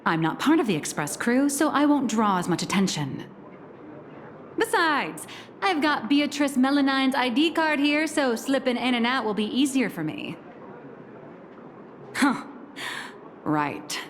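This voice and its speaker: A woman voicing a shrewd, decisive young agent in a game, cool and measured in manner. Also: sits high, animated, slight background noise. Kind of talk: game voice acting.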